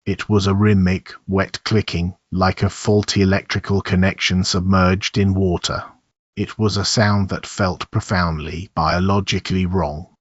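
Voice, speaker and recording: A man's voice: low-pitched, level tone, unhurried. A man reading a scripted horror story as its narrator. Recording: muffled, roomy echo.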